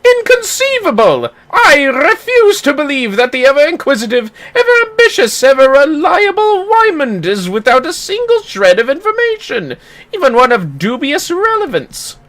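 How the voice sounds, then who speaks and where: A streamer voicing a pompous, excitable male gentleman inspector from a video game, speaking in grand theatrical exclamations. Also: sits high, moderate pace, pitched above the performer's own voice, heavily acted. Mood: excited, indignant. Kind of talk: playful video game character voice.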